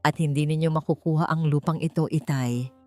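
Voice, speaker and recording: A woman voicing a calm, resolute grown child who defies a powerful father in a story. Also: low voice, fairly quick, lightly acted. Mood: firm, defiant. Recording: music bed behind the voice.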